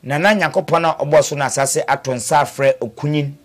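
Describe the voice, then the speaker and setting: A man delivering a poetic death announcement as a broadcast announcer, declaiming with sudden swells and hushed drops. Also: fairly high pitch, fairly quick.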